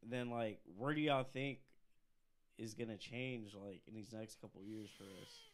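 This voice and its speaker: A man in his twenties on a casual podcast who puts laid-back questions to his friends and lets his sentences trail off softly. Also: fairly low pitch, wildly swooping pitch, measured pace. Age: 20s. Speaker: podcast co-host. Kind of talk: casual chat among friends.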